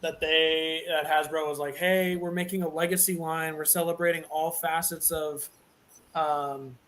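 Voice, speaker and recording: A man hosting a live show, chatting casually and enthusiastically, sometimes halting over his words. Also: a little high, little pitch movement, a bit bright, slight background noise. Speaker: host. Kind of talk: casual chat.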